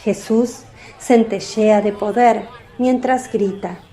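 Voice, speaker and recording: Slow, high-voiced woman narrator. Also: fairly even intonation, dark tone. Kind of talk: scripted dramatic narration.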